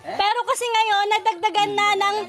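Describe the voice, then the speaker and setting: A woman's voice: very high voice, moderate pace, over loud music. A woman with a Filipino accent hosting a quiz, reading out trivia questions in set formal wording. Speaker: quiz host.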